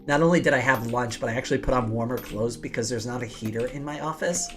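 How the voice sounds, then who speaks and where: A woman with a rough, slightly hoarse and rattly voice, chatting casually as she hosts a game livestream and talks through her plans. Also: very deep voice. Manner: chatty, explains plans aloud while playing. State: hoarse from days of coughing. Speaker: livestream host. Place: home office. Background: music.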